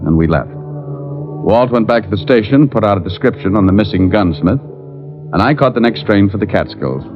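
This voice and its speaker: An actor playing a tough, terse private detective in 1940s radio style, with a firm, clipped delivery. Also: male voice, low voice. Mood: dry narration. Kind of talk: 1940s radio detective acting and first-person narration. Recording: muffled old radio recording.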